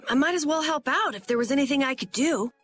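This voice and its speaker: A woman's voice: sits high, expressive, fast talker, a bit bright, soft background music. A woman voicing an eager, earnest young boy in a video game. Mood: modest, earnest.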